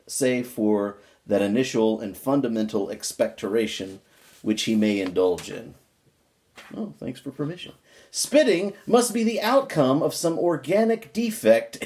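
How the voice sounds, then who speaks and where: A man's voice: on the low side, a little mellow. A man giving an amateur dramatic reading of an old book, stressing words emphatically and adding wry asides.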